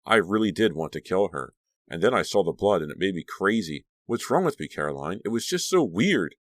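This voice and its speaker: A man's voice: low voice, sing-song, brisk, no room sound, clean studio sound, pitched above the performer's own voice. An actor voicing a frightened teenage girl who feels she is losing control, in an anguished, breathless way. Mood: shaken. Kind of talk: audiobook character reading.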